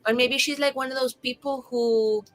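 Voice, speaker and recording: A woman's voice: high-pitched, steady tone, normal speed, fairly bright tone. A woman playing a chatty, earnest fantasy adventurer in improvised tabletop banter, rambling as she gossips. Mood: gossipy. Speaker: tabletop roleplay player.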